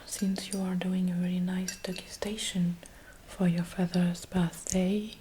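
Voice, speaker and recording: A woman with a French accent softly whispering an ASMR shop roleplay in a breathy, gentle way, as if serving a customer. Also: fairly low pitch, flat delivery, a little slow, slight background noise. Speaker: ASMR host playing a beer shop assistant.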